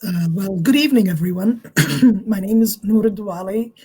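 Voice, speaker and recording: A woman in her fifties, originally from Somalia, speaking as a guest in a reflective, gently humorous way with some restarts. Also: mid-range voice, moderate pace, husky. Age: 50s. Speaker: guest speaker, university staff. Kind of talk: reflective personal talk.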